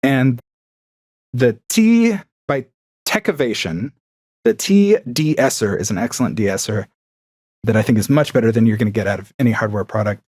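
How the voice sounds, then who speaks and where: A man's voice: mid-range voice, lively intonation, moderate pace, darkish tone, dry recording, studio quality. A man chatting casually and enthusiastically as a podcast guest, recording from a home room with acoustic paneling. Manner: enthusiastic, chatty, with frequent fillers. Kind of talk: casual chat.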